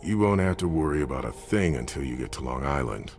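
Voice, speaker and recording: A stern male vampire adviser, extremely low pitch, blunt, uncompromising, gravelly, video game drama. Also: lively intonation, fast, a little mellow, faint music behind. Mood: serious, cautious. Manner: blunt, serious, matter-of-fact.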